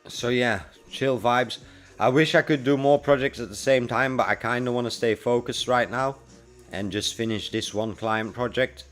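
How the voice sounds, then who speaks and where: A man's voice: mid-range voice, steady tone, normal speed, fairly bright tone. Laid-back forty-year-old man chatting over music. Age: 40s. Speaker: livestreamer and freelance web developer. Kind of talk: casual livestream chat with viewers. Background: music playing.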